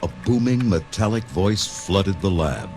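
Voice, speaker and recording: A deep-voiced man narrates a recorded adventure tale. His dramatic scripted delivery is very lively in intonation, carried over prominent background music. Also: measured pace. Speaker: storybook narrator.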